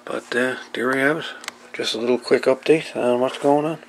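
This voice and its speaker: Gravelly-voiced man narrating hands-on repair. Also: mid-range voice, steady tone, moderate pace. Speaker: hobbyist host of radio repair videos. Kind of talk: casual unscripted narration of a repair. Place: indoor workbench. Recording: noisy home-camera audio with clicks.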